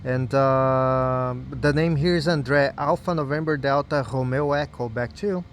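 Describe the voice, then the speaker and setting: Male ham radio operator, mid-pitched, friendly and casual, spells in phonetic alphabet. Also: moderate pace, background noise.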